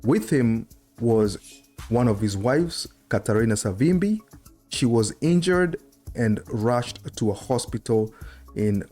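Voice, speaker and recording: A man's voice: on the low side, mellow. A middle-aged man giving informal historical commentary as a host, conversational and explanatory, speaking into a close desktop microphone indoors. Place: indoor room at a desk. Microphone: desktop microphone close to the mouth.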